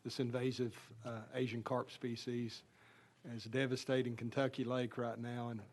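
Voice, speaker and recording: A man's voice: mid-range voice, flat delivery, moderate pace. A male legislator giving courteous, hesitant remarks at a hearing.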